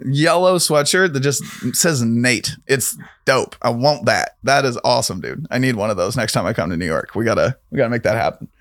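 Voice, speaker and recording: A man's voice: medium pitch, lively intonation, brisk, hardly any pauses, fairly bright tone, dry recording, clean studio sound. A man hosting a podcast interview, chatty and joking, laughing easily with his guest. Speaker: podcast host. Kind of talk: casual interview chat.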